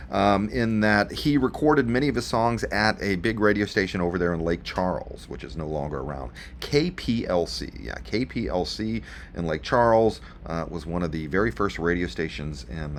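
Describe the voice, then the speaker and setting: Man, radio host, low voice, lively swooping intonation, folksy showman delivery, faint background noise. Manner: chatty showman addressing listeners, with dramatic repeats. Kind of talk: folksy local radio presenting.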